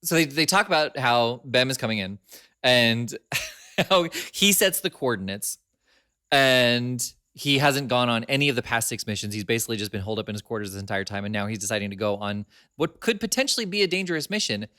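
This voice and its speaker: A man's voice: medium pitch, fairly quick, clear, resonant tone, no room sound, clean studio sound. A man co-hosting a podcast in casual chat, laughing often as he talks. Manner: laughs often, chatty and animated. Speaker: podcast co-host.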